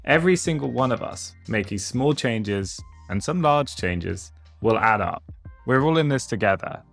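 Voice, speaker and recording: A man's voice: mid-range voice, lively intonation, normal speed, vocal fry, soft background music. Man hosting a podcast. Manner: friendly, hesitant with fillers and restarts. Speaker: podcast host. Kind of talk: podcast hosting, casual interview.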